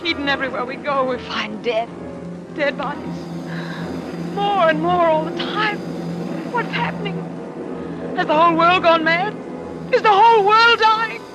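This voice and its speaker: Despairing refugee woman, high plaintive voice, vintage radio drama, loud music behind. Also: a little slow, pauses often, poor audio. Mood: weary despair. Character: despairing, weary woman fleeing war. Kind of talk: old-time radio drama acting.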